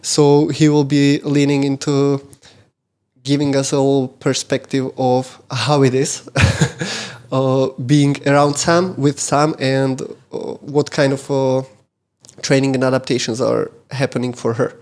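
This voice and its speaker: A man hosting a podcast interview, who often pauses and restarts with fillers as he frames his questions. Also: mid-range voice, fairly even intonation, a little mellow. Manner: halting, many fillers and restarts while framing questions. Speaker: podcast host.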